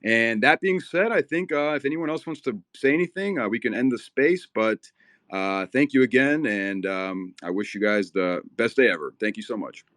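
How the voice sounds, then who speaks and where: A man's voice: mid-range voice, lively intonation, normal speed, fairly bright tone, no echo, clean studio sound. A man born in Ukraine, a native Russian speaker, hosting a casual live audio chat in a rambling, filler-heavy, upbeat way, a little nervous. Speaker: host of a crypto talk space.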